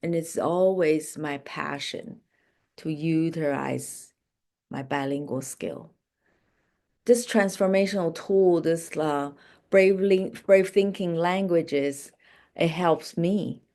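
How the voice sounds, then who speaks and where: Low-pitched woman coach with unhurried delivery. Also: mellow. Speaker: life and business coach. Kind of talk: motivational coaching session.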